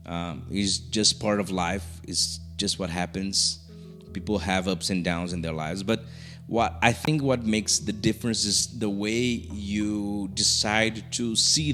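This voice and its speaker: A young man from Brazil with a Brazilian Portuguese accent, speaking English as a second language. He talks casually to camera and often restarts his sentences. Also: on the low side. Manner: halting, many restarts and fillers. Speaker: YouTuber. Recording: soft music underneath.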